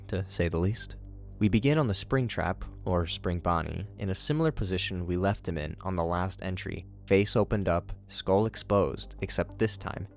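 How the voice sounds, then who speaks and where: A man giving scripted video commentary as the host of the show. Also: sits low. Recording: music under the voice. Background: background music.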